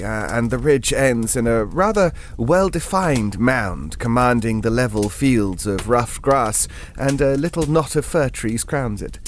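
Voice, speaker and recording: A man's voice: mid-range voice, a little hiss or hum, natural voice. An actor as a hesitant, genteel older Englishman. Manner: hesitant, digressive, politely formal, wistful. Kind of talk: audio drama monologue, conversational ghost-story telling.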